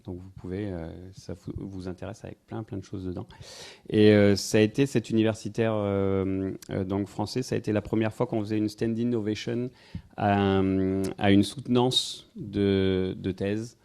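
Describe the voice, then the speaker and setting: Man, low mellow voice, informal lecturer, halting and chatty. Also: fairly even intonation, creaky. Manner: rambling, hesitant, many fillers, wry asides. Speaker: speaker giving a talk on incense history. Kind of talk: informal public talk to a live audience. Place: festival evening event, indoors.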